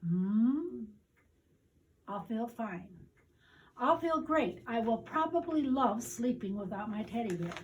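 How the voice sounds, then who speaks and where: A woman's voice: on the high side, expressive, slow pace, recorded from a distance, highs cut off, a little hiss or hum. An older woman voicing an anxious little boy in a storybook, with a hesitant, self-reassuring manner. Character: little boy anxious about sleeping without his teddy bear.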